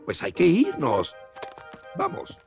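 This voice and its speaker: A man's voice: high voice, huge pitch swings, slow, gravelly, music in the background, heavily acted. An actor voicing a childish, petty, bumbling man in a Latin American Spanish cartoon dub, mumbling and exclaiming in short grunts and oohs. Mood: bossy, busy. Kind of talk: Spanish-dubbed slapstick cartoon acting.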